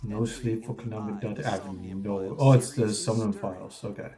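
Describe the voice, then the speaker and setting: A man's voice: fairly low pitch, steady tone, moderate pace, mellow. A man who is sick and sniffling, chatting casually and offhandedly while reacting to video game announcements. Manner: rambling, offhand, sometimes wry. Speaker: gaming reaction streamer. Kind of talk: casual live reaction chat. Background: faint trailer music.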